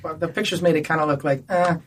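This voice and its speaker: Higher-pitched man, faint noise behind. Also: steady tone, fast talker. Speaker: podcast guest. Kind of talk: casual chat. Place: podcast studio.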